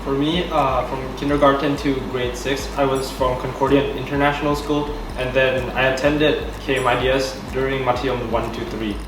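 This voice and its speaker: A man's voice: mid-range voice, fairly even intonation, normal speed, hardly any pauses, noisy background. A teenage male student talking casually about school in a panel discussion. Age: teenager, high school student. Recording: echoey room, distant mic, music behind.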